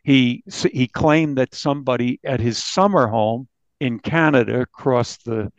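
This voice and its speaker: Male American reporter, interview recollection, stop-start phrasing, medium pitch, dull close recording. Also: dry recording. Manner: halting, repeats and restarts phrases. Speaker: newspaper reporter being interviewed. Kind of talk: recounting a case in an interview.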